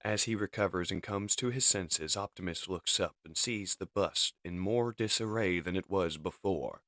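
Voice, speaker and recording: A man's voice: low-pitched, moderate pace, fairly bright tone, no room sound, studio quality. A man narrating a comic dub with dramatic, emphatic scripted storytelling.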